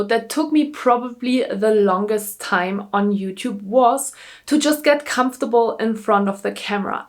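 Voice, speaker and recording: A young German woman whose first language is German, chatting upbeat to camera in her vlog with a German accent, repeating words for emphasis. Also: medium pitch. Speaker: YouTuber. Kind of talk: casual talking-to-camera vlog. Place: indoors, seated in front of a camera.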